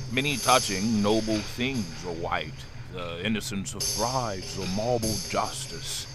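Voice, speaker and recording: An actor playing an obsessed old whaling captain in an audio drama, brooding and commanding, with grandiose archaic speech. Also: male voice, lowish voice. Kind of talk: theatrical audio drama acting. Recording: clear background noise, stormy ship ambience.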